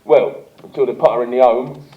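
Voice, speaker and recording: A man's voice: mid-range voice, steady tone, fairly quick, lightly acted. A man playing an obtuse, cheerful, eager fellow in a radio comedy sketch full of cross-talk. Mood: cheeky. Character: obtuse, cheerful man mishearing and offering daft remedies. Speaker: actor in live radio comedy. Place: arts centre stage before live audience. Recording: echoey, dull-sounding live stage, slight noise.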